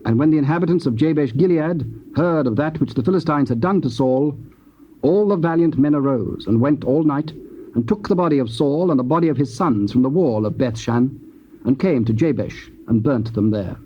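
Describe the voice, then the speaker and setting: A man narrating scripture in a solemn, measured and formal manner. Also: mid-range voice. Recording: dull, hissy old cassette tape.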